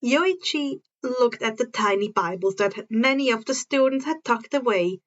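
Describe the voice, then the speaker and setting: A woman's voice: a little high, animated, normal speed, dull audio. A woman reading a story aloud as narrator, now and then stumbling over and repeating words, on a modest home recording. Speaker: fan fiction narrator.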